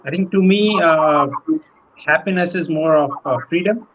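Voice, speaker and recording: A man giving tentative, halting answers as a panelist. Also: a little high. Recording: muffled, noisy online call.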